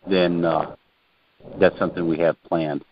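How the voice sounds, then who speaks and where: A male caller from Ohio talking casually and haltingly over the phone. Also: deep voice, little pitch movement. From Ohio, United States. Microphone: telephone. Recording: muffled noisy phone line.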